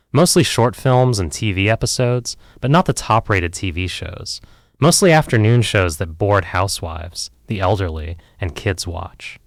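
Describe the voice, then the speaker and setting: A man acts out a self-doubting filmmaker on the therapy couch in an audio play. His voice sits low but rises and falls in lively sweeps. He is candid and wry, rambling and hedging as he goes, in a dry, close recording. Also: gravelly, no room sound, exaggerated voice. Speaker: therapy client. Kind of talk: conversational audio play acting.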